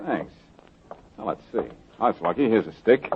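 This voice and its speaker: A man's voice: on the low side, expressive, measured pace, breathy edge, full character voice. An actor playing a wisecracking male private detective, wry and jokey, in an old radio drama. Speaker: lead actor. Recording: tinny old radio broadcast with hiss.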